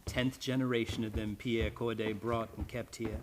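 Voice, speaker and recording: An actor playing a grim, blunt old island retainer in a radio play, with rustic, archaic phrasing full of ayes. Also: male voice, on the low side, flat delivery, normal speed, a little hiss or hum, close to an everyday voice. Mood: blunt, somber.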